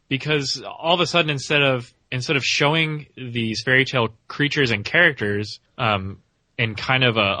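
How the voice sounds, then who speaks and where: Guy on a movie podcast, bright voice, dry sound, chatting opinions and hedging as he goes. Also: medium pitch, no room sound. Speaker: podcast co-host reviewing animated films. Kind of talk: casual film discussion.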